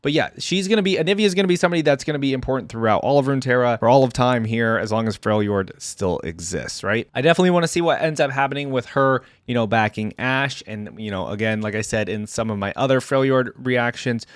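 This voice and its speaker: A man's voice: mid-range voice, fast talker, few pauses, no echo, studio quality. A man giving casual reaction commentary as the host of an online video, talking informally to his viewers.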